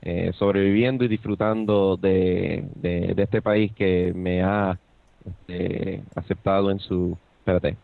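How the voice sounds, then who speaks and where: A man's voice: low-pitched, little pitch movement, takes it slowly, gravelly, no room sound, phone-quality sound. A Puerto Rican man co-hosting a podcast, chatting casually with many fillers and restarts. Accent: Puerto Rican Spanish. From Puerto Rico. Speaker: podcast co-host. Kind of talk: casual chat. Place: Buenos Aires, Argentina.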